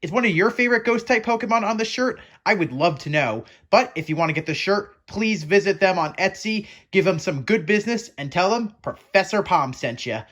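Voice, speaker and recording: A man's voice: sits high, expressive, a little fast, hardly any pauses, fairly bright tone. A man presenting to viewers as a playful professor host, chatty and theatrical, often putting questions to the audience. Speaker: host of a Pokemon education show.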